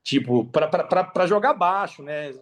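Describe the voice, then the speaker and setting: A Brazilian man with a slightly high voice talks as a guest on a podcast. His delivery is casual and emphatic, full of slang and repeated phrases for stress. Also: a little slow. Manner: emphatic, repeats phrases, slangy, animated storytelling with acted-out quotes. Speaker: podcast guest, affiliate marketer.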